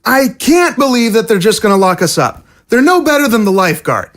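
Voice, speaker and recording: A woman as a blunt, animated young man. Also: fairly high pitch, a little fast. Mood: bitter, worried. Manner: blunt, colloquial. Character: indignant, blunt young undead man, a zombie escapee. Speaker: voice actor. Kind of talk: scripted audio drama acting.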